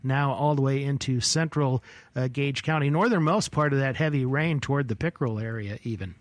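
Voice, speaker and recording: A man's voice: medium pitch, brisk, no room sound. A man with a mid-range voice gives live, unscripted weather coverage from a dry radio studio. He explains things in a folksy way, like a local broadcaster talking off the cuff. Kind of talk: unscripted live storm commentary.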